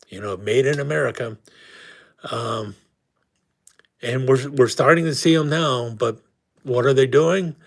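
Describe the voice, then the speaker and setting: A man's voice: mid-range voice, gravelly. A man hosting a casual live stream who is losing his voice, talking in a rambling way with many restarts. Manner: rambling, frequent restarts and fillers. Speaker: host.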